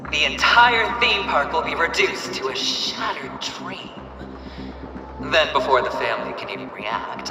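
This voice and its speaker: A theatrical, wildly swooping villainous young gambler. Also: male voice, on the high side, distant mic, full character voice. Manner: theatrical, taunting, drawn-out delivery with dramatic swings. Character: flamboyant, cunning young male gambler and villainous showman. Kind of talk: video game cutscene acting. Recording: echoey hall reverb, music behind. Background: music.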